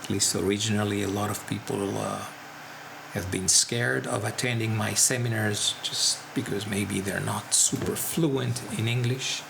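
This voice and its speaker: Gravelly man explaining slowly. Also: lowish voice, fairly even intonation, background noise. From Italy. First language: Italian. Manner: slow and careful, many pauses and fillers. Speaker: seminar instructor. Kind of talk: promotional talk to camera.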